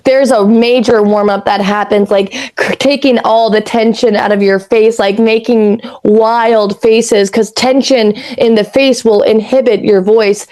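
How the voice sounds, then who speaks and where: A raspy-voiced woman in her thirties from Canada, co-hosting a podcast in rambling, casual chat that drifts into spiritual talk and easy swearing. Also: on the high side, fairly even intonation, measured pace, few pauses, darkish tone. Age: 30s. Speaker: podcast co-host.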